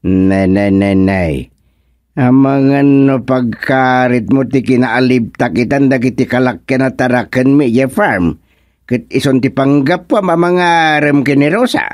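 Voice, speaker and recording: A man's voice: mid-range voice, unhurried, a little mellow, dry recording, clean studio sound, lightly acted. A man acting in a comedic radio drama as a fussy, blustering older uncle who owns a farm, exclaiming and repeating his words. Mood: stern.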